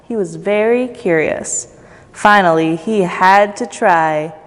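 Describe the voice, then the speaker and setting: A woman's voice: mid-range voice, takes it slowly. Woman reading a storybook in echoey reverb. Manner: expressive, with playful asides to young listeners. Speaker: volunteer storybook reader. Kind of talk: children's storytime read-aloud. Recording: long echoey reverb, faint music behind.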